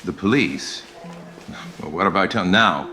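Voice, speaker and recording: An actor playing a confident young theatrical radio director in an audio drama. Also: male voice, deep voice, a little slow, reverberant, over music. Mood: breezy, authoritative.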